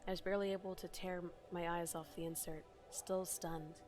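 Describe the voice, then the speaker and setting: A woman's voice: on the low side, level tone, moderate pace, darkish tone. Woman streamer narrating a game. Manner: dramatic narration. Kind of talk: reading game dialogue aloud and translating it on stream. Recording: streamed with game music behind.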